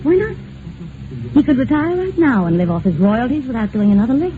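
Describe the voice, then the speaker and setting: Cool scheming wife, swooping tinny voice. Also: female voice, sits high, sing-song, fairly quick. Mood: calculating. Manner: coolly insinuating, controlled. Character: cool, scheming estranged wife turned blackmailer. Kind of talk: old-time radio mystery acting. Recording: tinny, noisy vintage radio recording.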